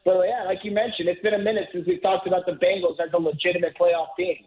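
A man's voice: high voice, level tone, fast. A man calling in as a football writer, talking analytically and fluently, now and then repeating a word as he starts a thought. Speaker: NFL writer guest. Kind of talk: phone-in radio interview. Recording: tinny phone line.

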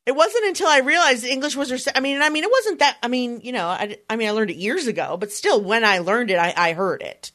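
A woman's voice: on the high side, animated, quick pace, hardly any pauses, a bit bright, no echo, studio quality. Chatty woman with a Philadelphia accent. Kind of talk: casual conversation.

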